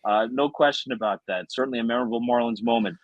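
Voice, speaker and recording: A man's voice: medium pitch, fast, no echo, muffled recording. American man telling stories, dry close sound. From South Florida, United States. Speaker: podcast guest, former baseball radio announcer. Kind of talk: conversational storytelling.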